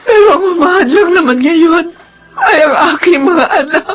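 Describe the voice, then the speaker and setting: A woman's voice: very high voice, lively intonation, normal speed, dull audio, soft background music. An actress playing a loving but torn middle-aged mother in a Filipino radio drama, with a Filipino accent. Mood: sorrowful.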